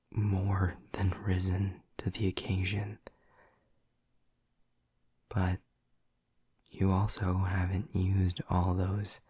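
Male actor as a doting vampire boyfriend: low, breathy, slow whispers, teasing and intimate. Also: darkish tone, no room sound, full character voice. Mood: tender. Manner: teasing and affectionate. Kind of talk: ASMR audio roleplay.

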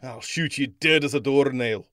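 An actor in an audio drama playing a jealous Old West suitor, indignant and threatening. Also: male voice, mid-range voice, normal speed, a bit bright, no room sound, studio quality, natural voice. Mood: outraged.

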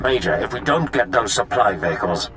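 A man playing a loud, impatient army colonel in a video game, barking demands. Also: on the low side, animated, fairly quick, rough recording. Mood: angry, impatient.

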